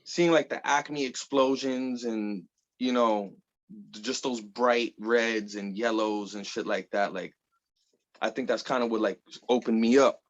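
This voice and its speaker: A man's voice: mid-range voice, fairly even intonation, measured pace, lo-fi sound. A man in his thirties from the Bronx, chatting loosely as an interview guest, full of fillers and casual swearing. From the Bronx, New York. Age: 30s. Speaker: guest artist.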